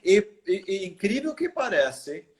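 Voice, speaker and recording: Male, American accent in Portuguese, earnest, restarts a lot, slightly high pitch. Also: sing-song, unhurried. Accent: American English. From United States. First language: English. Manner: earnest storytelling with many restarts. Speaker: missionary guest. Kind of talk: live video interview.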